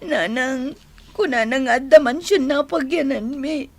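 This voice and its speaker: A woman playing a distraught, complaining young wife in a radio drama, with a shrill, tearful voice and a Filipino accent. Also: unhurried, a little mellow, dry recording. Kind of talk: Ilocano radio drama acting.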